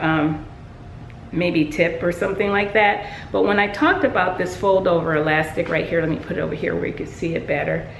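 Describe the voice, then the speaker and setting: A woman's voice: lowish voice, echoey room, a little hiss or hum, poor audio. A woman sewing vlogger casually showing her handmade work, chatty with many ums and restarts. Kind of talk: casual show-and-tell.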